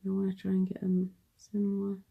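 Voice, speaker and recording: Sniffly young woman, gravelly voice. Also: medium pitch, monotone, fairly quick, highs cut off. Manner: chatty, self-deprecating, drops into whispers while working. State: sniffly from a cold. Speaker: makeup tutorial vlogger. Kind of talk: casual makeup tutorial chat.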